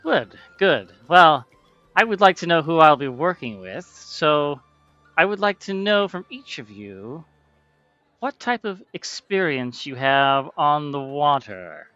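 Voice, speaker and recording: A man's voice: on the high side, big pitch swings, slow, bright, forceful tone, dry recording, dull audio, faint music behind, exaggerated voice. A man voicing a poised, businesslike woman employer who greets new hires with formal politeness. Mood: gracious.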